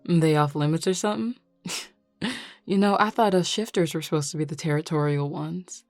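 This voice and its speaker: Flirty male Southern werewolf bar patron played by a woman: low voice, playful drawl, dry close sound. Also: darkish tone, dry recording, faint music behind. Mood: teasing. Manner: drawling, playful, unhurried teasing. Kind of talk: scripted audio roleplay.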